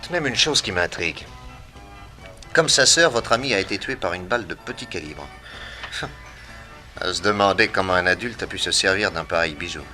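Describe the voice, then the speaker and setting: An actor playing a composed, authoritative detective in a French radio serial, speaking in a brisk, assured way. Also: male voice, low voice, expressive, sharp tone, soft background music, exaggerated voice. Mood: methodical, deductive. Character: famous detective, male, composed, authoritative, methodical.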